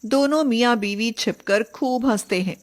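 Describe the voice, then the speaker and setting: A woman's voice: on the high side, normal speed. A woman reading scripted narration for a moral story, as a storyteller.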